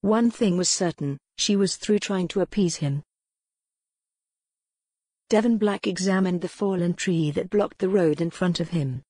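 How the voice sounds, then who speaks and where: A woman's voice: lowish voice, measured pace, mellow, husky, no echo. A woman narrating a historical novel as scripted dramatic audiobook fiction.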